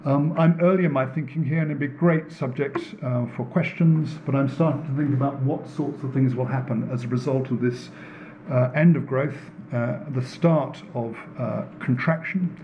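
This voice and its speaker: A man giving a public lecture in an earnest, deliberate way, repeating phrases for emphasis. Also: mid-range voice, lo-fi sound, slight background noise. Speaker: speaker giving a talk, works in renewable energy. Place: indoor room in front of an audience.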